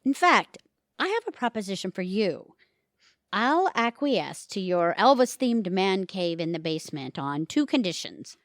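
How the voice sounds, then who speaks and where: A woman playing a middle-aged mother and wife in an audio drama, earnest and wry, with deliberate, theatrical delivery. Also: mid-range voice, big pitch swings, no room sound, clean studio sound.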